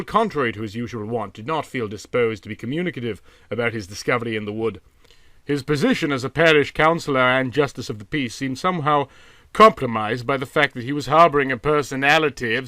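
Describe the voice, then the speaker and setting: A man narrating a literary story from a script in a dramatic, expressive storytelling manner. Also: mid-range voice, no echo, studio quality. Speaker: audiobook narrator.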